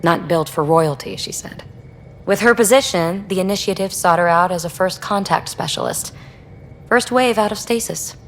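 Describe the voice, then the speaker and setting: A woman's voice: lowish voice, normal speed, slight background noise, close to an everyday voice. A woman playing a wry, flirty crew member of a space expedition in a video game, with a breezy, conversational delivery. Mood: reflective, guarded. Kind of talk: video game dialogue acting.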